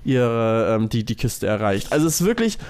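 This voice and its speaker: A man's voice: medium pitch, big pitch swings, moderate pace, a little mellow, clear, resonant tone. A man co-hosting a podcast, explaining things loosely in casual, colloquial talk. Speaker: podcast co-host. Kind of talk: casual chat.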